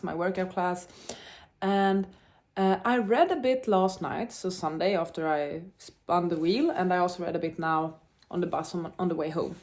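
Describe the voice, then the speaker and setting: A woman's voice: fairly low pitch, darkish tone. A young woman with Czech as her native language, chatting upbeat to the camera about books, with fillers and self-corrections. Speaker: book vlogger. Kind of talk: casual vlog chat to camera.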